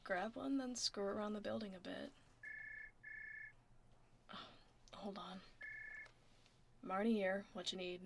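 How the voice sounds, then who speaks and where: Woman acting a soft, creaky-voiced tomboy office admin. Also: medium pitch, slow, long pauses, background noise. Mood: reassuring. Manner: casual, teasing, reassuring. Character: tomboy werewolf office admin woman, laid-back, gruffly caring. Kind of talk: ASMR roleplay, soft casual office chat. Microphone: close binaural microphone.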